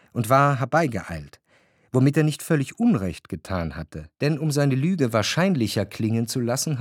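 An actor as the observant, quietly ironic first-person narrator of a literary radio drama, reading long scripted sentences. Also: male voice, mid-range voice, close to an everyday voice.

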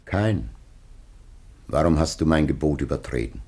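A man's voice: animated, a little mellow, full character voice. An actor playing a dying old father, grave, sorrowful and loving, in solemn archaic radio play style. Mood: stern, pained. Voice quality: husky, very deep. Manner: slow, solemn, archaic declamation. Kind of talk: German radio play acting.

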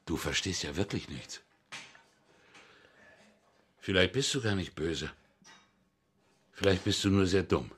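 An actor playing a bitter, accusing small-time crook in a radio drama, husky-voiced, coarse and confrontational. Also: male voice, low voice, lively intonation, measured pace, pauses often, a bit bright. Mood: scornful.

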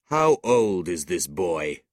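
A man's voice: medium pitch, sing-song, a bit bright, dry recording, studio quality, pitched above the performer's own voice. A man voicing a cold, scornful older businessman uncle with a curt, sneering delivery in a storybook reading. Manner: curt, sneering, drawn-out emphatic words.

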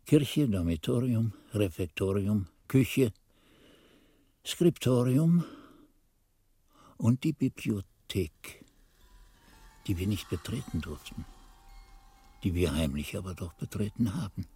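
An actor playing a reflective old monk who recalls his youth, musing and halting as if talking to himself, husky-voiced, in a radio drama. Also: on the low side, animated, mellow, full character voice. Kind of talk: 1980s German radio drama narration.

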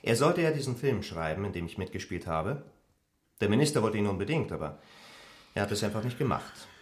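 A man playing a vain stage actor in a German radio drama, with a grand, drawn-out, declamatory delivery. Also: on the low side, very animated, echoey room. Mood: smug. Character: vain, theatrical male stage actor, self-satisfied.

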